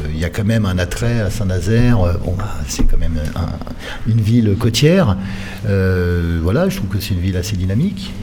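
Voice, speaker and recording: A middle-aged man gives conversational interview answers. His voice is low and very mellow, and he often hesitates as he hunts for the next word. A little noise sits behind him. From Paris region, France. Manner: hesitant, searching for words. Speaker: handball coach being interviewed. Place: radio studio.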